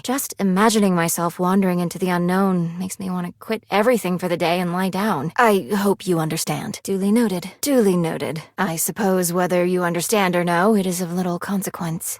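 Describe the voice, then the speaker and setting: A woman's voice: lowish voice, no room sound, studio quality, lightly acted. A woman voicing a prim, anxious, money-minded video game character who speaks in formal, precise, businesslike phrases. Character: prim, anxious, money-minded female personified savings living in a safe.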